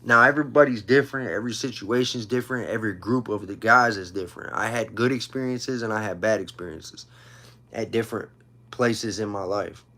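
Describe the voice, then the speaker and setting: A man in his thirties with a fairly low voice talks casually on a livestream. He tells personal stories in informal street slang. His delivery is emphatic, with points often restated. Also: fairly quick, bright, forceful tone. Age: 30s. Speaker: livestream host.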